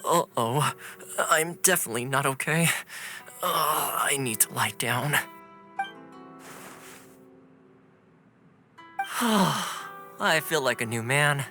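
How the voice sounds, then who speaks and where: An easygoing young male retainer in an anime video game. His voice is bright and lively, swinging widely in pitch, with an upbeat, playful, theatrical delivery over faint music. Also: measured pace, full character voice. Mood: queasy.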